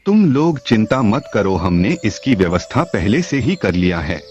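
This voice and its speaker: A man voicing a calm, authoritative parrot king in a cartoon, addressing his subjects in a measured, reassuring way. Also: lowish voice. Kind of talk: animated story dialogue. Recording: dull lo-fi sound, music behind.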